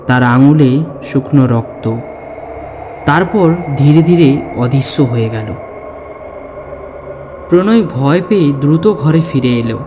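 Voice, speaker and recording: A man's voice: mid-range voice, background noise. A man reading a scripted ghost story as narrator, with dramatic, suspenseful delivery. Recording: long hall reverb, music underneath. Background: music.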